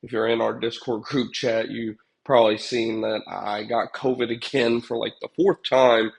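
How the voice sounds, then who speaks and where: A man's voice: mid-range voice, flat delivery, normal speed, gravelly, rough recording. A young man with a stuffed-up, congested voice hosts a casual livestream, rambling with lots of fillers and chatting to viewers. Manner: rambling, filler-heavy, addresses chat viewers. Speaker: livestream host, pest control business owner.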